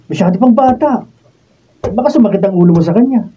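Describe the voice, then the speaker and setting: A man's voice: high voice, lively intonation, quick pace, dark tone. A man playing a skeptical young friend giving advice in a radio drama. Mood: skeptical, cautioning. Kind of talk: Filipino radio drama acting. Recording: dull lo-fi, distant mic, faint noise.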